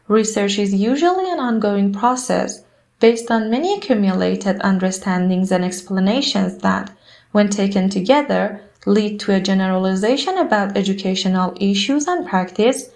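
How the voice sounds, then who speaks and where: A woman's voice: mid-range voice, expressive, moderate pace, darkish tone. A woman reading scripted exam practice passages aloud.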